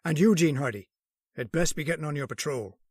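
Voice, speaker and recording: An actor playing a gruff, fatherly older police sergeant in an old-time radio drama, speaking. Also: fairly high pitch, a little fast, no room sound, studio quality. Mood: fatherly, teasing.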